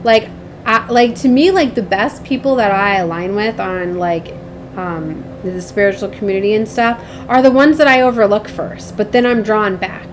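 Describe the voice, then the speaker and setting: Over clearly audible background noise, a woman talks in a medium-pitched voice as she gives a casual tarot reading. She rambles informally, drops thoughts halfway and keeps checking that the listener follows her. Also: normal speed, low-quality recording. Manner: chatty, informal, often trailing off mid-thought; frequently seeks agreement with tag questions. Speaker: tarot reader and spiritual channel host.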